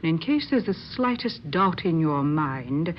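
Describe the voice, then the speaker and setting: Stern lady medium, lowish voice, formal n bossy, muffled old radio play. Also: very animated, a little hiss or hum. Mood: commanding. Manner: formal, commanding, measured. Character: stern, imperious married woman who works as secretive medium. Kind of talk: old-time radio drama acting.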